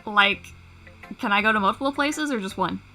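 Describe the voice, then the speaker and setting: A woman's voice: medium pitch, moderate pace, pushed and bright, soft background music, poor audio. A woman talking casually as a guest in an informal group conversation on a livestream. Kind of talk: casual chat.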